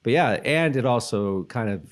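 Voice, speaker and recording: A man's voice: medium pitch, lively intonation, normal speed. A man co-hosting a podcast, chatting casually in a loose, rambling way as he thinks aloud. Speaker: podcast co-host. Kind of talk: casual chat about a TV episode.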